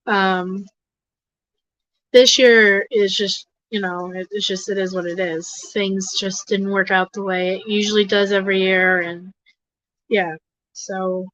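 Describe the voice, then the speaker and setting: A hoarse, congested woman hosting a livestream, rambling casually with lots of fillers and restarts. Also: medium pitch, fairly bright tone, no room sound, muffled recording. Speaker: host of an advocacy livestream. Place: home desk.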